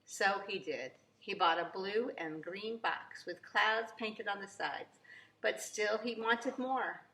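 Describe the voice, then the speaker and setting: Woman, preschool read-aloud, bright voice, theatrical emphasis, echoey room, faint background noise. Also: mid-range voice, takes it slowly. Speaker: preschool teacher reading aloud. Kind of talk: expressive children's storybook read-aloud.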